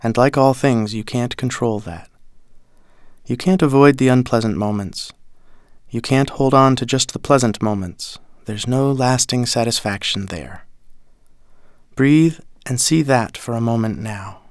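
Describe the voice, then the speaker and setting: Man calmly guiding a meditation, dry close sound. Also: mid-range voice, clear, resonant tone, no echo. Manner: calm, deliberate, instructive. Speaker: meditation teacher. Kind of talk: guided breathing meditation, measured instruction.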